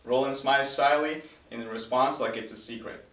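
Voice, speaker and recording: A man's voice: medium pitch, steady tone, moderate pace, a bit bright. A man reading stage directions as scripted narration at a table read. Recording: roomy, distant mic.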